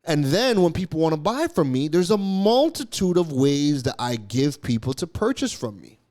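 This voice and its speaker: A man hosting his own podcast, talking straight to his listeners. His voice sits a little higher than most men's, and he drives his points home by repeating them. He sometimes trips over a word before pressing on. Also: very animated, moderate pace, a little mellow. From Canada. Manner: emphatic, repeats key phrases, occasional stumbles. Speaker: podcast host. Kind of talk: solo business podcast monologue.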